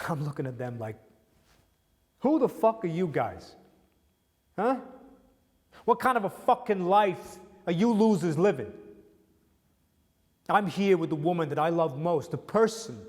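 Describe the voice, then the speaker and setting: A man's voice: mid-range voice, darkish tone, in a large hall, lightly acted. A man playing a blunt grieving widower. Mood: fervent. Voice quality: profane, halting with repeated restarts. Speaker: widower speaking to a grieving listener. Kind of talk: emotional dramatic monologue.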